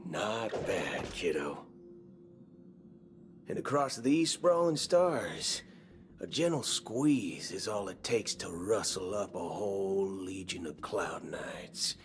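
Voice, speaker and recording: An actor playing a swaggering, wry cowboy gunslinger in a video game, talking in folksy slang. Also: male voice, low-pitched, lively intonation, full character voice. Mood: sly. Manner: folksy slang, slow drawling delivery. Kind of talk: video game cutscene acting. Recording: game audio with background music.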